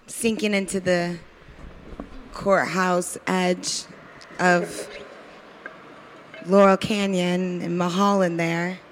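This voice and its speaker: A woman's voice: on the low side, a little hiss or hum. A woman playing an impulsive, secretly murderous nurse in a melodramatic improvised radio-play parody. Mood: dramatic reflection.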